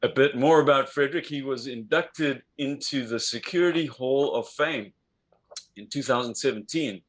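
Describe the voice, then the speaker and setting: A man hosting a business interview over a video call, speaking in a measured way with frequent fillers and restarts. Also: mid-range voice, a bit bright. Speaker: interview host.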